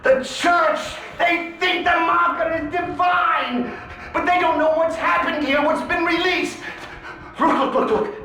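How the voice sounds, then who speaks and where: An actor playing a frantic, guilt-ridden scientist in a video game, pleading and stammering with urgent repetition. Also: male voice, extremely high pitch, a little slow, sharp tone, exaggerated voice. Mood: frantic, desperate. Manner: stammering, repeats himself urgently. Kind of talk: dramatic video game acting. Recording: very echoey, music underneath.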